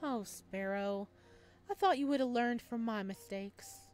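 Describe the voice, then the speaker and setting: A woman voicing a gentle female pharmacist from a visual novel, soft-spoken and pointed, icily disapproving of her rival. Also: on the high side, measured pace, soft background music, close to an everyday voice. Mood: disappointed.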